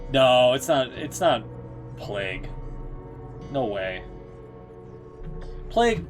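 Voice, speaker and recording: A man giving casual reaction commentary as a game streamer, blunt, slangy and profane in how he talks. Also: mid-range voice. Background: music.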